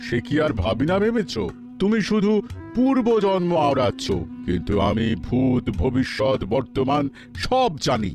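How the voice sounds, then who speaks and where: A man's voice: high voice, very animated, normal speed, creaky, music in the background, full character voice. A man voicing a scheming, boastful yet cowardly royal astrologer in a cartoon, with fussy, stammering speech.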